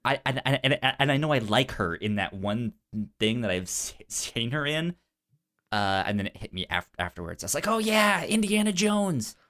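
A man co-hosting a film podcast in casual conversation, halting and stuttering as he repeats sounds and words. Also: medium pitch, very animated, fairly bright tone.

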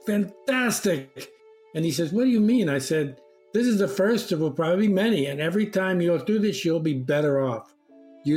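A middle-aged man with a somewhat high voice shares an anecdote conversationally. He is emphatic and voices the dialogue as he goes, with music underneath. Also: darkish tone. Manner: emphatic, acting out quotes. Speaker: discussion participant.

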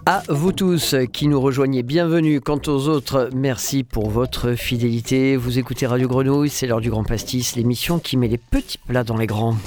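A man hosting a radio food show, speaking in a lyrical, chatty way with frequent restarts from a studio microphone. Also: medium pitch. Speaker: radio host.

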